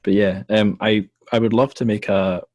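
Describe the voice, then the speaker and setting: A young man hosts a book podcast in a relaxed, hesitant way, often pausing on ums and restarting sentences. His voice sits low. The sound is dry with no room around it. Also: no room sound. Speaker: podcast host. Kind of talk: casual interview chat.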